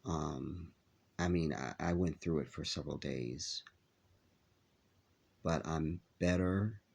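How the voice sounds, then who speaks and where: A man talking to his viewers in a halting vlog, with a nasal, congested voice as he recovers from an illness. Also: a bass voice, creaky, a little mellow, breathy edge. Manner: halting, many filler pauses. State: stuffy, congested after illness. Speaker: vlogger. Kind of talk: casual vlog talk to viewers.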